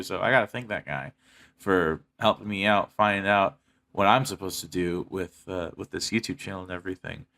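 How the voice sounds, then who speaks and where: A man's voice: on the low side, fairly bright tone. A young American man speaking candidly to his viewers in a personal monologue, blunt and emphatic, with heavy swearing. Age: young adult. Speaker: YouTuber.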